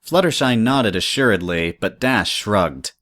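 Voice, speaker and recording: A woman's voice: extremely low pitch, animated, unhurried, fairly bright tone. A woman reading scripted third-person story narration as the narrator.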